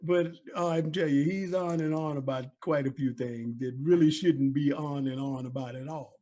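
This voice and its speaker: A man's voice: fairly high pitch, fairly even intonation, moderate pace, mellow. A man co-hosting a casual talk show with effusive, folksy banter. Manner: effusive, folksy, calls people buddy and man.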